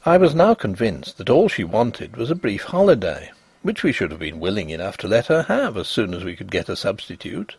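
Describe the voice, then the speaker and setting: A man narrating a Victorian ghost story as scripted reading, with an expressive, literary delivery. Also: on the low side, brisk, creaky, slightly rough.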